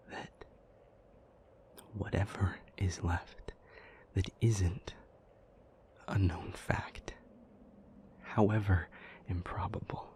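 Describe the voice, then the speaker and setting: A man playing an intense, anguished demon in an intimate audio roleplay, speaking in breathy, halting, deliberate phrases. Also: low voice, darkish tone. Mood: hushed, reasoning. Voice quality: breathy, mostly whispered. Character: intense, anguished male demon, brooding and reasoning aloud.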